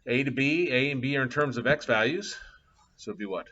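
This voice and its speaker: Middle-aged man, math instructor, relaxed rambling lecture, muffled lo-fi mic. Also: medium pitch, a little fast. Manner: casual, chatty, thinking aloud with fillers. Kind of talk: live class lecture with anecdotes. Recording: dull lo-fi classroom capture.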